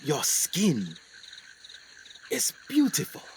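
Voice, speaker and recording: An actor playing an intense English doctor-explorer in a radio play, with formal, dramatic storytelling. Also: male voice, on the high side, wildly swooping pitch, extremely slow, a little hiss or hum, full character voice. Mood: awestruck. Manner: dramatic, formal, literary phrasing.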